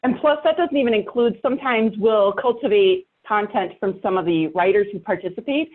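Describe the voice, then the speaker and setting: A woman with a medium-pitched voice comes through thin, phone-like call audio. She talks in a casual, colloquial way as a podcast guest, leaning on her words for emphasis. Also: a little fast. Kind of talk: casual conversational interview. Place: home workspace studio with sound panels. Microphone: microphone with preamp, over online call.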